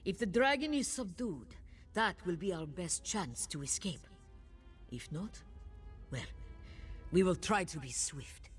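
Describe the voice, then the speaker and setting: A woman playing a grave, weary cat-folk villager in a fantasy game, speaking in a solemn, measured, dignified way. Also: on the low side, animated, faint music behind. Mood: grave, resolute. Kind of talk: scripted fantasy game dialogue.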